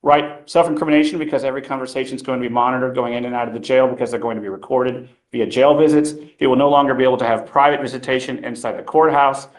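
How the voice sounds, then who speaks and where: A man's voice: medium pitch, fairly even intonation, fast talker, few pauses, poor audio. A defense attorney, a man, arguing before the bench in a courtroom. He talks in formal legal terms and keeps pressing his point, with frequent ums between phrases.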